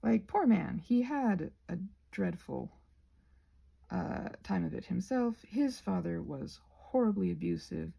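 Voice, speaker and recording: A woman chatting in a casual, rambling ASMR style, halting and self-interrupting, often slipping toward a whisper. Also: lowish voice, expressive, gravelly, warm tone, slightly rough. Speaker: host of a craft ramble video.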